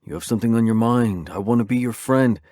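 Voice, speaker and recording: A man's voice: medium pitch, fast talker, dark tone, no room sound, studio quality, lightly acted. A man doing a cocky, goading young man. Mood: taunting.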